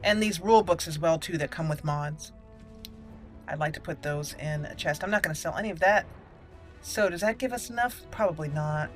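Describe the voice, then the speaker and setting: Woman doing chill game commentary, kinda rambly, thinks out loud, bit of music behind. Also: low voice, a little fast. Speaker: gameplay host. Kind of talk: casual gameplay commentary.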